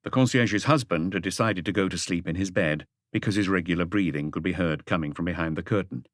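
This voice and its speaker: A man's voice: deep voice, fairly quick, no room sound, studio quality. A man reading a mystery novel aloud as an audiobook narrator, in a scripted literary style.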